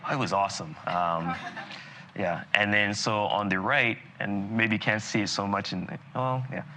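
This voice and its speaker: A man with a very low, bright and forceful voice, from Barbados, lecturing dentists live in a relaxed, conversational way full of fillers and asides. Faint noise sits under the recording. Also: moderate pace. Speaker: dental lecturer. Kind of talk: live continuing-education lecture.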